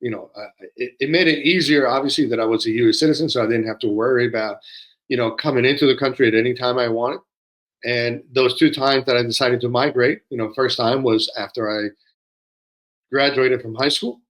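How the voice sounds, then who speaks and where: A man in his sixties who grew up in Peru, co-hosting a conversation and talking in a measured, reflective way with frequent pauses and fillers. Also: medium pitch, fairly even intonation, a bit bright, poor audio. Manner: measured, pausing often with fillers. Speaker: podcast co-host.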